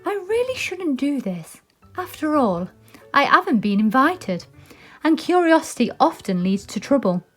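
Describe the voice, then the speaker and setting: A woman's voice: sits high, very animated, unhurried, a bit bright, soft background music, pitched above the performer's own voice, heavily acted. A British woman voicing a curious, polite young girl in a storybook, wondering and exclaiming to herself.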